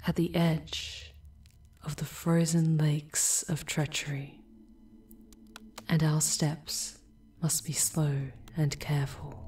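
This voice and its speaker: Woman acting a hushed, solemn, low-voiced demoness. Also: a little hiss or hum. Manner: breathy, hushed, formal and deliberate delivery. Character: Lilith, ancient female demon guide, solemn and protective. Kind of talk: ASMR roleplay audio drama.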